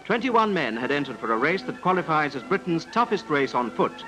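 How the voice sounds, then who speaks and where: A man's voice: fairly high pitch, sing-song, a little fast, bright, forceful tone, rough recording. A man narrates a scripted 1950s newsreel in a formal, dramatic manner. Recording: vintage 1950s newsreel soundtrack, music.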